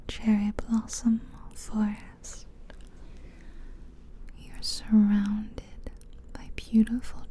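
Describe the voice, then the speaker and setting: Slow whispering woman, guided relaxation. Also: mid-range voice, mellow. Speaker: ASMR host. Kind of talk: ASMR guided visualization. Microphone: close mic, ear to ear.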